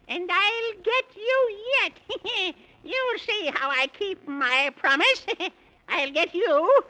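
A woman's voice: lively intonation, slow, bright, forceful tone, heavily acted, low-quality recording. A woman playing a gloating old witch who cackles and threatens in rhyme in a 1930s children's radio serial. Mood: menacing, gloating. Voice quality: shrill cackling. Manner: taunting, rhyming threats.

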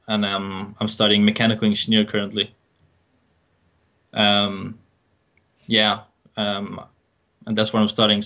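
A man in his early twenties from Iran, answering interview questions in a halting, hesitant way with many ums. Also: lowish voice, bright, forceful tone, dull audio. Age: 20s. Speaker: guest trader.

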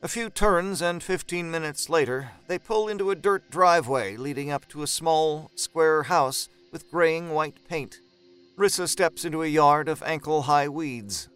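A man with a smooth, clear voice pitched a little high narrates a horror story dramatically. Faint music plays behind his reading. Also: measured pace, a bit bright.

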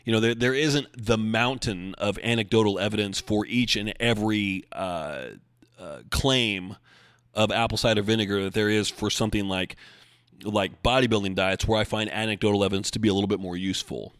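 A man's voice: lowish voice, a little fast, fairly bright tone, clean tone, dry recording. A man hosting a casual solo podcast, rambling and correcting himself with dry humor as he answers listeners. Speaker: podcast host and bodybuilding coach.

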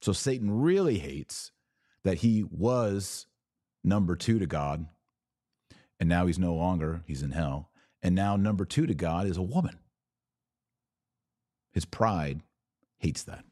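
A man's voice: sits low, expressive, slow pace, pauses often, dark tone, dry recording, studio quality. A man hosting a podcast, giving religious commentary and answering viewer comments in an emphatic, didactic way with rhetorical questions. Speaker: podcast host.

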